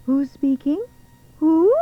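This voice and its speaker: Gushing, high-pitched posh young lady. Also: slow. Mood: sweetly confident. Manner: gushing, upper-crust. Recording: thin phone-like sound.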